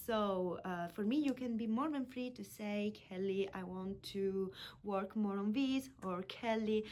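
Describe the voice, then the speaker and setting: A woman's voice: mid-range voice, a little mellow. Woman in her 30s, language teacher intro, earnest, stops and restarts while finding her words. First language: Italian. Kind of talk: unscripted self-introduction to students. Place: indoor room with books.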